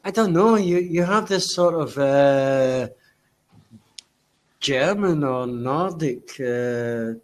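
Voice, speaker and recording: Guy talking real slow, halting, repeats words, casual chat. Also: mid-range voice. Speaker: conversation partner.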